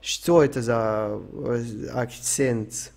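A man's voice: mid-range voice, extremely slow, creaky, a little mellow. A young man from Ukraine streaming, answering chat questions in a rambling, sweary, joking way. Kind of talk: casual stream Q&A.

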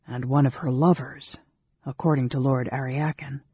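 A man's voice: medium pitch, little pitch movement, normal speed, warm tone, breathy edge, no room sound, muffled recording. A man voicing an arrogant, suspicious young warrior in a fantasy audiobook, with a sneering, sarcastic manner. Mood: bitter, mocking.

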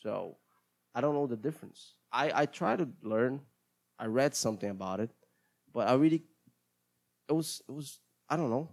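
Brazilian guy rambling on his own show, halting and self-deprecating, repeats himself a lot, medium pitch. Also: lively intonation, vocal fry. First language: Portuguese. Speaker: host of a solo English-practice show. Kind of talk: casual, rambling solo chat.

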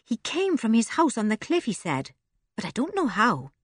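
A woman's voice: a little high, wildly swooping pitch, moderate pace, slightly rough, no room sound, clean studio sound, pitched above the performer's own voice, exaggerated voice. A woman voicing a scruffy, tough young girl who talks bluntly and warily.

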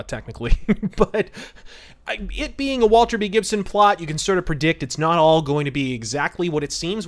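Male podcast host, slightly high pitch, conversational film commentary, chatty, discursive, frequent asides. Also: a bit bright.

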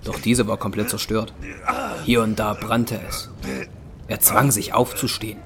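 A man's voice: lowish voice, level tone, a little slow, noisy background. A man narrating a German audio drama in a scripted, dramatic storytelling style.

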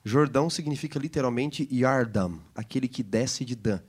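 A man's voice: medium pitch, moderate pace, breathy edge. A man with a Brazilian Portuguese accent, a pastor co-hosting a Bible lesson program, explaining scripture in a teacherly way. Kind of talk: Bible study discussion.

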